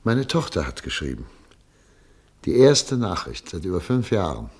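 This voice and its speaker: A man playing a weary older exiled doctor in a radio play, speaking with quiet, deep resolve. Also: big pitch swings, moderate pace, husky, full character voice. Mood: lonely, warm. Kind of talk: 1950s radio play acting.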